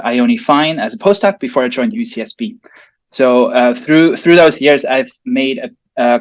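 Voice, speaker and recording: A man from Switzerland, a professor on a panel, relaxed and filling pauses with "uh" and "um". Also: mid-range voice, highs cut off. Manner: relaxed, with many fillers. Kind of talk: casual spoken account.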